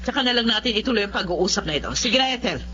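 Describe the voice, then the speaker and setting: A woman's voice: mid-range voice, expressive, quick pace, natural voice. A woman playing a stubborn middle-aged Filipina mother in love, in a radio drama. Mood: stubborn, firm. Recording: muffled, noisy radio drama with hum.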